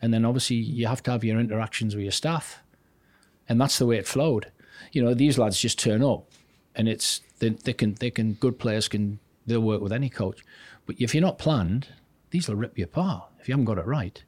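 A man's voice: mid-range voice, normal speed, a little mellow, no echo. An older man from north-east England, a guest on a studio podcast, tells long stories in a loose, chatty way. He often restarts his sentences and slips into the voices of people he is quoting. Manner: chatty, rambling, restarts sentences, acts out quotes. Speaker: podcast guest, former goalkeeping coach. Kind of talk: casual anecdotal storytelling.

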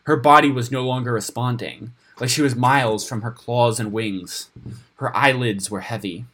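Husky-voiced man narrating a fantasy story. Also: mid-range voice, steady tone, normal speed, a bit bright. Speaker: story reader on a podcast.

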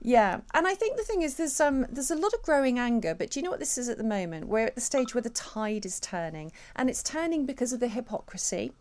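A woman's voice: a little high, big pitch swings, quick pace, few pauses, darkish tone. A woman guest commentator in a radio discussion, speaking in an articulate, emphatic and rhetorical way. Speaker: regular guest commentator, author.

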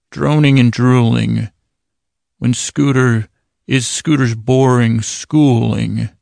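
A man hosting a bedtime podcast in a meandering, halting monologue, often interrupting himself and drifting off on tangents, with a creaky, gravelly voice. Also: mid-range voice, no echo, clean studio sound. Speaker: podcast host telling bedtime stories. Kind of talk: rambling sleep-podcast monologue.

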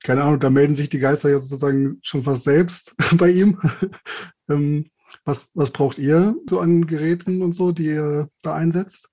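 A man's voice: on the high side, fairly even intonation, normal speed, mellow. A man interviewing guests on a podcast, asking questions in an informal, tentative way.

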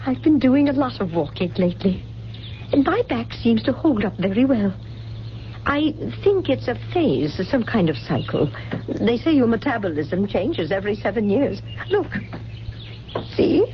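An actress playing a genteel, wistful middle-aged mother with a bad back in a 1970s radio drama, speaking in halting, exclaiming phrases. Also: on the high side, big pitch swings. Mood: wistful, cheerful. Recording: muffled, noisy old radio broadcast.

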